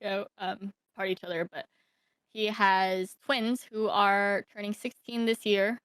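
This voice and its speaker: A woman's voice: mid-range voice, little pitch movement, a little slow, a bit bright, clear, resonant tone, no room sound. A young woman from Thailand telling her life story as a podcast guest, hesitant, with many fillers and restarts. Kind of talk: casual interview storytelling.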